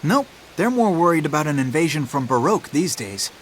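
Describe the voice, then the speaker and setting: A man's voice: fairly high pitch, big pitch swings, a little fast, a little hiss or hum. A man voicing a sceptical, level-headed teenage boy in a video game, casual and reasoning. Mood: calm, sceptical.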